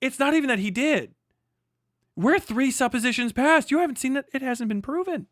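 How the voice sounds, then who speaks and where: A man's voice: extremely high pitch, animated, fairly quick, a bit bright, no echo, clean studio sound. A man doing heated live reaction commentary as a stream host, profane, combative and mocking. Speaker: livestream host reacting to a clip.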